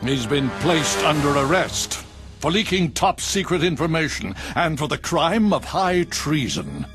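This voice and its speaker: A villainous American official in a game, cold and smug. He talks slowly with very lively, condescending intonation over clearly audible music. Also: fairly high pitch. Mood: smug, dismissive. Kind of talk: dramatic video game cutscene acting.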